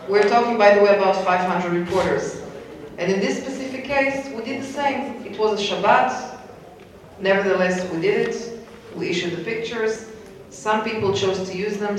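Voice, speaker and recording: A man answering questions as a spokesperson at a public briefing, in an echoey hall, distant from the microphone. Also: high voice. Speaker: military spokesperson on a panel.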